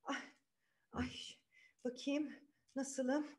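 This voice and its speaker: A woman's voice: high-pitched, little pitch movement, extremely slow, pitched above the performer's own voice. A woman voicing a vain, bossy, spiteful young stepsister from a fairy tale, gushing with sighs and exclamations. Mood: vain, demanding. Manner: gushing, self-admiring, full of sighs and exclamations.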